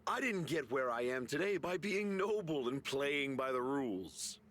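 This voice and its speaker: Arrogant, scornful general with animated delivery. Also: male voice, medium pitch, normal speed. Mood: cold contempt. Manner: haughty, berating. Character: arrogant, scornful military general, self-justifying older commander. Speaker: voice actor in a video game. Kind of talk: dramatic video game acting. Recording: music behind the voice.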